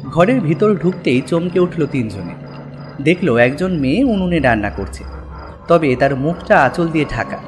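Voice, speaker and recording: A man's voice: fairly high pitch, big pitch swings, moderate pace, music in the background. A man narrating a scripted horror story in a dramatic style.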